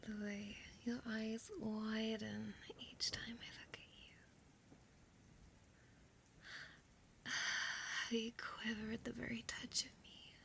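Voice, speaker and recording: A woman's voice: on the high side, little pitch movement, sharp tone, full character voice. Woman playing a whispering, possessive female vampire. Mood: fond, reassuring. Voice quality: breathy whisper. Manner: slow, intimate, coaxing, formal old-fashioned phrasing. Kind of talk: ASMR audio roleplay.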